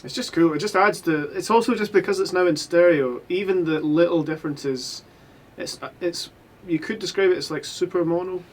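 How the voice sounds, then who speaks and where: A man's voice: sits high, a little fast, music in the background. A man chatting casually about mixing a recording session, rambling with many restarts and fillers. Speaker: mixing engineer. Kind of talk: casual chat.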